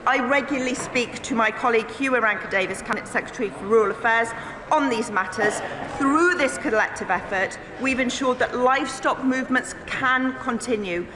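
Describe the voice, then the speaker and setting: A woman government minister from Wales answers questions in a formal, measured way. Her voice sits a little high and rings in a large echoing chamber. A crowd murmurs underneath. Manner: formal, measured, partly read. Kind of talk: parliamentary answers. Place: parliamentary chamber.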